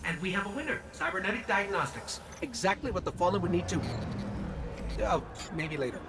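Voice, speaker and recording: An actor playing a small, eager robot companion in a video game, inquisitive and wryly quippy. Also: male voice, sits high, expressive, brisk, heavy background noise. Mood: wry, curious. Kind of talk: light video game acting. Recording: music behind the voice. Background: music.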